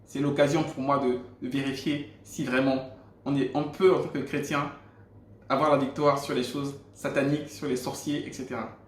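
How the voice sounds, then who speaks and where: A man's voice: mid-range voice, little pitch movement, moderate pace. Gabonese man telling a testimony, roomy sound. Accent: Gabonese French. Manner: earnest storytelling with frequent restarts. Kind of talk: personal faith testimony. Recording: roomy echo.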